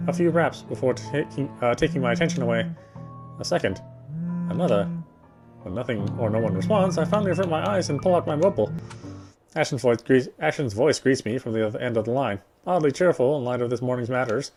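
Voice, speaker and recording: A man reading visual novel narration aloud, sometimes stumbling and repeating phrases. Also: mid-range voice. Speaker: playthrough reader.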